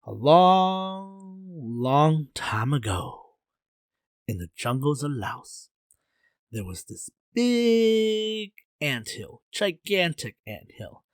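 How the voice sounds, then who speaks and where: A man's voice: medium pitch, very animated, normal speed, dry recording, studio quality, lightly acted. A man voicing a sly, laid-back trickster in a folktale, with cool, slangy talk. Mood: droning storytelling.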